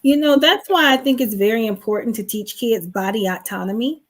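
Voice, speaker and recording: A woman's voice: a little high, fairly quick. A woman co-hosting a live online talk show, explaining as a sex therapist in an earnest, teaching manner and asking her guest questions.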